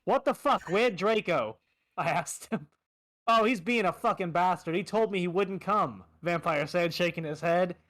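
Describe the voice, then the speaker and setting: A man's voice: high-pitched, normal speed, fairly bright tone, dry recording, clean studio sound. A man reading fan fiction aloud on a stream in a comic style, amused and given to asides.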